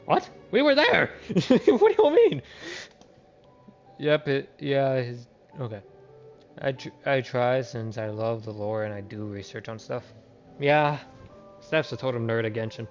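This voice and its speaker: A man's voice: mid-range voice, animated, measured pace, soft background music. A man livestreaming a game, chatting informally with viewers and often restarting or repeating his words. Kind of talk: casual livestream chat and game commentary.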